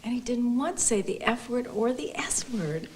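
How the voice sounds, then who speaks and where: A woman's voice: on the high side, expressive, moderate pace, slight background noise, lightly acted. An actress playing a polite, over-explaining wife who covers for her husband in a comedy film. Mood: eager, gushing.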